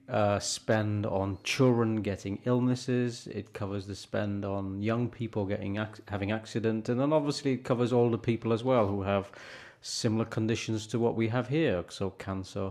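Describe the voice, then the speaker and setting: A middle-aged man, a hospital consultant, explaining with measured good humour in a radio interview. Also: lowish voice, darkish tone. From born in London, grew up in North India. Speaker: hospital consultant doctor, guest.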